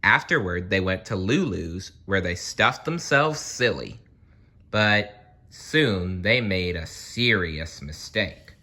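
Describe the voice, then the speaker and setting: An expressive storybook narrator reading for children at an unhurried pace. The voice swings widely in pitch, with deliberate pauses and a bright edge. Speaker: storybook reader.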